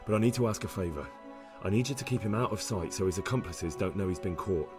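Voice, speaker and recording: A man's voice: low-pitched, expressive, fast, over music. A man playing an earnest, stubborn young adventurer in a medieval video game cutscene. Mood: matter-of-fact.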